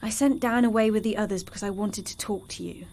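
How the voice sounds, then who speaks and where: A woman's voice: medium pitch, fast, a little mellow, close to an everyday voice. A woman playing a sassy but compassionate member of an investigating team in an audio drama, earnest and assertive. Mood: uneasy.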